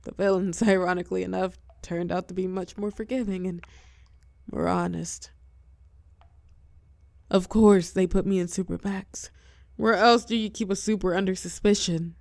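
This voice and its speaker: Woman acting as a weary female supervillain, lowish voice, gravelly fry, tender yet menacing, intimate audio roleplay, dramatic pauses. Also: fairly even intonation, darkish tone, no room sound. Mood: bitter, wry. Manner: soothing pet names, wry bitterness, dramatic pauses, drops into whispers.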